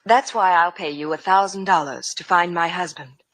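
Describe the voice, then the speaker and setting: An actress playing an earnest, anxious wealthy wife in a 1950s radio drama. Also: female voice, fairly low pitch, moderate pace, a bit bright, dry recording, lightly acted. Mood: pleading. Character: rich, pleading, earnest wife, refined and anxious.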